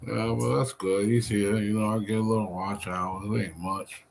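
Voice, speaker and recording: A man's voice: fairly low pitch, level tone, normal speed, creaky, slight background noise. A man about fifty, rambling on a live stream, weary and venting with plenty of swearing. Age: 50s. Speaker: livestreamer.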